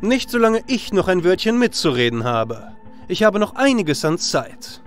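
A man's voice: high voice, sing-song, normal speed, faint music behind. A man playing a cocky, nonchalant hero in a fantasy audio drama, speaking in a casual, blunt way. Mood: defiant.

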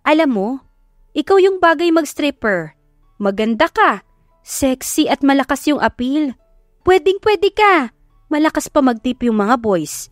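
A woman with a Filipino accent voicing a brisk, chatty female events boss in an audio drama. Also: high-pitched, big pitch swings, no room sound, studio quality, voice pushed up. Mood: coaxing. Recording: background music under the voice.

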